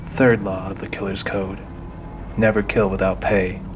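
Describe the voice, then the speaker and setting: A man's voice: low voice, flat delivery, unhurried, noisy background. A man reading scripted, dramatic narration for an audio drama. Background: music.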